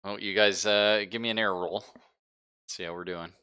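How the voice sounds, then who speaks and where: A man's voice: on the low side, fairly even intonation, normal speed, pushed and bright, clear, resonant tone. A man running a tabletop roleplaying game as game master, narrating casually with a jokey, sweary manner.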